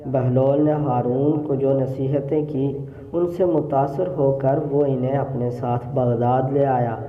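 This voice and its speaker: Male narrator for religious stories: unhurried, formal delivery, roomy echo on the recording. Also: mid-range voice, fairly even intonation. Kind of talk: scripted religious story narration. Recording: roomy echo, phone-like narrow sound.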